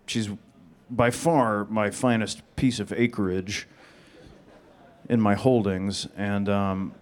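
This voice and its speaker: Deadpan monotone man. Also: lowish voice, slow. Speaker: panel guest. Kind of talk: audience Q&A answers.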